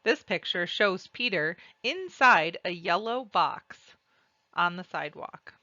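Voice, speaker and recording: A woman reading a children's storybook aloud and describing its pictures, with deliberate, expressive phrasing. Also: on the low side, no echo, highs cut off. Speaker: read-aloud narrator.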